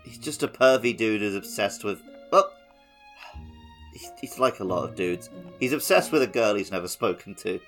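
Laid-back podcast dude, low voice, dry little jokes. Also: male voice, normal speed, faint music behind. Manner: relaxed, wry asides. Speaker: podcast co-host. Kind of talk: casual game-talk podcast.

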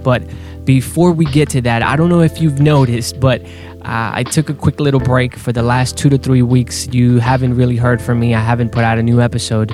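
A man hosting a faith podcast, talking earnestly and a little haltingly with fillers and restarts. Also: mid-range voice, fairly even intonation, a little mellow. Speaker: podcast host. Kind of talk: casual podcast intro. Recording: clear guitar music underneath.

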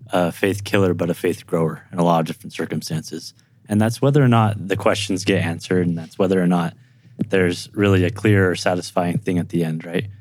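A man with a low-pitched voice hosts a discussion. He talks informally and conversationally, like someone chatting through ideas and handing questions to guests. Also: a little mellow. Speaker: podcast host. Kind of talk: casual conversational interview.

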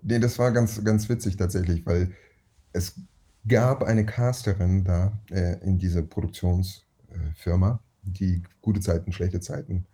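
Deep, dark husky-voiced man. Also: fairly even intonation, unhurried. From Uzbekistan. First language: Russian. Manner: slangy, emphatic storytelling. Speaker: actor guest. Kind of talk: casual podcast interview.

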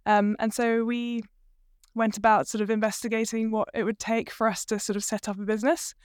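A woman's voice: on the high side, little pitch movement, fairly quick, clear, resonant tone, dry recording, clean studio sound. A British woman, from the UK, in her thirties, chatting casually as an interview guest with lots of fillers. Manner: chatty, many fillers and hedges. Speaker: guest, company co-founder.

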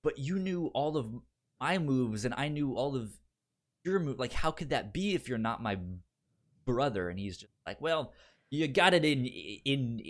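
A man co-hosting a casual film discussion, halting as he goes, with a stutter that repeats sounds and words. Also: mid-range voice, lively intonation. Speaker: podcast co-host.

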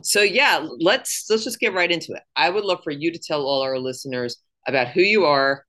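Relaxed middle-aged radio host. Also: medium pitch, moderate pace. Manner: friendly, relaxed, hesitant fillers. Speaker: podcast host, interviewer. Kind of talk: casual interview.

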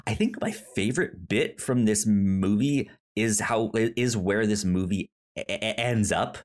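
A man hosting a casual film review podcast, talking haltingly and often stammering over the start of words. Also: fairly low pitch. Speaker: podcast host.

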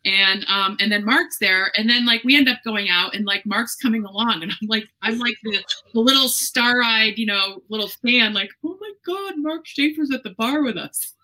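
Female host, casual interviewer, bright and bubbly, rambling storyteller. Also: on the high side, normal speed, hardly any pauses, rough recording. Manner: chatty and enthusiastic, full of fillers and storytelling.

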